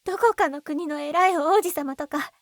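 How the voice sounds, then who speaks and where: A woman playing a gentle, lovesick young woman in an intimate roleplay, speaking softly and breathily up close. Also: sits high, moderate pace, dry recording. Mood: desperate, pleading. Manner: soft, breathy, intimate, often trailing into whispers. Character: lovesick young woman, gentle, tearful, pleading with childhood friend. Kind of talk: Japanese ASMR-style situation voice roleplay. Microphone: close binaural dummy-head microphone. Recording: very dry, close binaural recording.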